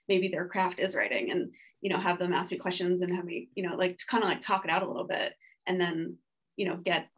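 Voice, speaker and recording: A woman's voice: on the low side, husky. Woman in her 40s on a zoom panel, chatty, tons of "like"s, restarts a lot. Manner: rambling, many "like" fillers and restarts. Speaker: panelist artist. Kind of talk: casual panel talk. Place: home studio room. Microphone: video call webcam.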